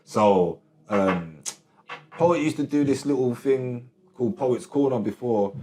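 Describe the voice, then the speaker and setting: Man, laid-back slang, roomy studio echo, mid pitch. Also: animated, unhurried, darkish tone. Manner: laid-back slang, frequent "you get me", laughs often. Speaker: guest rapper. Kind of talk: casual interview chat. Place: recording studio.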